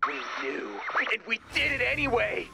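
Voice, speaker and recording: Excitable, creaky-voiced young slacker guy. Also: very high voice, normal speed, background noise, full character voice. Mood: anguished. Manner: earnest, melodramatic. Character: excitable, earnest, gullible young man, conspiracy-minded slacker. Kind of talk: animated video game character dialogue.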